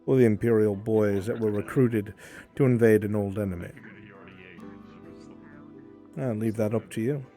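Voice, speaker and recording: A man's voice: on the low side, fairly even intonation, moderate pace, long pauses, warm tone, close to an everyday voice. A man playing a stern, courteous imperial military man who speaks formally and rhetorically in a live fantasy roleplay. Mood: grave, pointed. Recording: music behind the voice.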